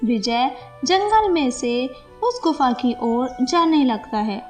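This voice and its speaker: A woman storyteller reads a scripted bedtime fable. Her voice is high and her pace very slow. Music plays clearly behind the narration. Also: sing-song.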